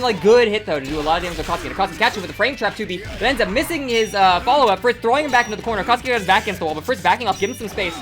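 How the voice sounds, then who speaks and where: Woman commentator, breakneck casual play-by-play, filler-heavy, game music underneath. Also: mid-range voice. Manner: rapid excitable play-by-play, many "like" and "uh" fillers. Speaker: tournament commentator. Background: game music playing.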